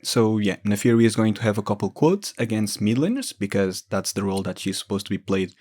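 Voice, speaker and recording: A man's voice: fairly low pitch, fairly quick, a little mellow, a little hiss or hum. A man giving informal, explanatory lore commentary as a gaming video creator.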